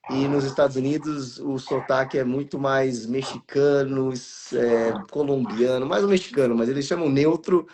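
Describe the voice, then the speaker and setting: A Brazilian man in his thirties talks through his career in a relaxed, rambling way. His voice sits in the middle of the range. He backs up and rephrases often, and a faint hiss runs underneath. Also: mid-range voice, moderate pace, hardly any pauses. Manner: rambling, with many restarts and fillers. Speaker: guest actor and voice actor. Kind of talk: casual interview over a live stream.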